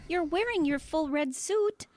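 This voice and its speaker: An actress playing Santa's caring, gently scolding older wife in a radio comedy drama. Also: female voice, extremely high pitch, animated, unhurried, no room sound, clean studio sound.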